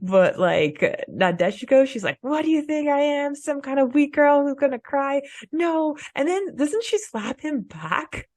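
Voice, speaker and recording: A woman co-hosting a podcast, chatting casually as a fan in an informal, halting way. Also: high-pitched, sing-song, a little mellow, no echo. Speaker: podcast co-host.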